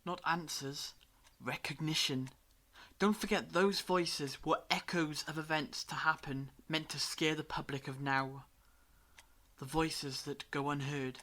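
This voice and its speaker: Someone acting a grave time traveller in an audio drama, measured and solemn, explaining things like they know it all. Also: medium pitch, fairly even intonation, a bit bright, lightly acted.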